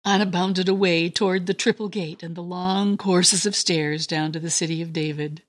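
A woman with a breathy, husky voice narrating a scripted story in a reverent, expressive manner. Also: on the low side, moderate pace. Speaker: show host and story narrator.

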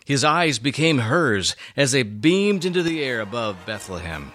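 Animated male storyteller, bright voice. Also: medium pitch, soft background music. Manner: deliberate, storytelling with dramatic pauses. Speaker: narrator. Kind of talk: dramatic scripted narration.

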